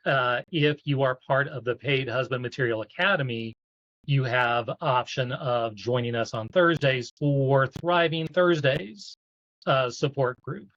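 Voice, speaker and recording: A man's voice: mid-range voice, unhurried, fairly bright tone, dry recording, highs cut off. A man hosting a live online event and introducing guests as a support group coach.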